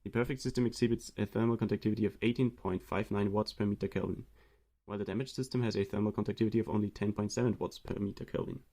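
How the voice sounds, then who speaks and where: A young man from Austria giving a read-aloud presentation of a student research project in a steady explanatory way. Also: lowish voice, racing.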